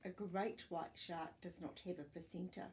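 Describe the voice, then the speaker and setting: An older woman hosting a children's storytime, reading non-fiction aloud with expressive, clear phrasing and explanatory asides for young listeners. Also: on the low side, moderate pace. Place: small furnished living room, indoors.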